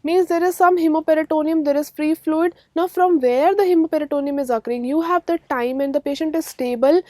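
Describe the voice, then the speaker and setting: High-pitched Indian woman lecturing. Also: brisk, a little mellow, no room sound. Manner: emphatic, repeats key points, checks understanding with questions. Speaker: radiology lecturer for medical exam students. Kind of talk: exam-prep lecture.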